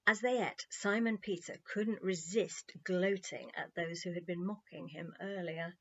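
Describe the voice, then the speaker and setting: A woman's voice: on the low side, measured pace. Woman reading a children's story, slightly muffled. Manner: playful, wry, conversational. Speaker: audiobook narrator, storyteller. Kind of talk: expressive children's story narration.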